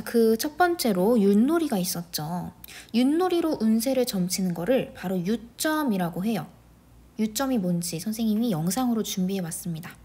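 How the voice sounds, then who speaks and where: A woman's voice: fairly high pitch, dark tone, slightly rough. A woman teacher leading a classroom lesson for young pupils, guiding them through the textbook. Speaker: elementary school teacher.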